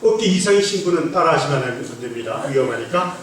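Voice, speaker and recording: A man's voice: fairly high pitch, animated, a little fast, a bit bright, slight background noise. A man from Andong with a slight North Gyeongsang accent, a guest pastor giving a playful sermon that teases the congregation. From Andong, South Korea. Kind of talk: joking testimony sermon. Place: church sanctuary. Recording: echoey church from a distance.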